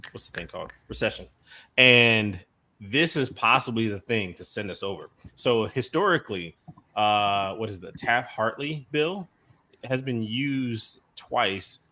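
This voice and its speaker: A man with a bright, forceful voice, co-hosting a casual podcast. He chimes in with quick agreement, then explains matters earnestly. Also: on the low side. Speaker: podcast co-host. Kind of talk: casual chat.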